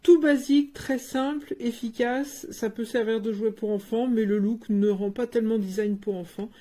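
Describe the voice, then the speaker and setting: A woman with a soft-edged, mellow voice that sits fairly high. She reviews a product in a casual, plain-spoken way, talking through it as she handles it and thinking aloud along the way. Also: steady tone, moderate pace.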